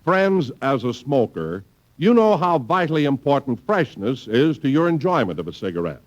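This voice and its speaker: Smooth persuasive man announcer. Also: medium pitch, sing-song, moderate pace, dull audio. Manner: smooth, persuasive sales delivery. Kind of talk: 1950s radio commercial reading.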